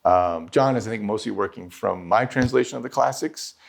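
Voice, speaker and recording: A man teaching swordsmanship, answering questions in a rambling, self-interrupting and lively way. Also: lowish voice, brisk, creaky. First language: English. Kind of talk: conversational Q&A answers.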